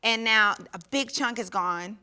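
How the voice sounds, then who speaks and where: A woman's voice: on the high side, a little slow, sharp tone, clean tone. A young woman earnestly reflecting on a hard personal time in a sit-down conversation. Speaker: reality show cast member. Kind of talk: personal confession in a sit-down interview.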